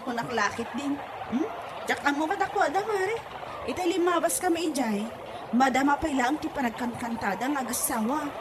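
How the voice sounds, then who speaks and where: A woman playing a nagging, excitable village wife in a comedy audio drama, with an Ilocano accent. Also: sits high, fairly quick, background noise, natural voice. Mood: gossiping.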